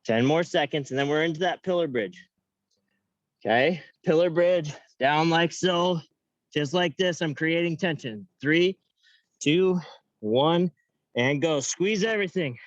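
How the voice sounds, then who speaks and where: A young man coaching a workout class, counting reps and giving upbeat cues with joking asides in a high-pitched voice. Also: measured pace, dry recording, muffled recording. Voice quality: self-described high-pitched voice. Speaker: fitness coach. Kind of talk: guiding an online workout class.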